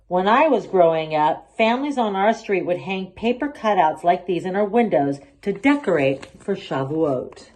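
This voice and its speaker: An affectionate older grandmother from a children's storybook, acted out by a woman reader. She teaches patiently and gently, and her pitch moves in lively, expressive swings. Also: moderate pace, few pauses, a little hiss or hum, lightly acted. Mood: tender. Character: warm, encouraging elderly grandmother and patient art teacher.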